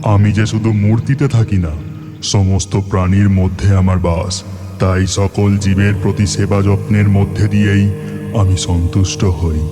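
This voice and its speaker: A man's voice: lowish voice, flat delivery, unhurried, over music, lightly acted. A man voicing a stern, righteous god in a cartoon, with a solemn, admonishing, declamatory manner.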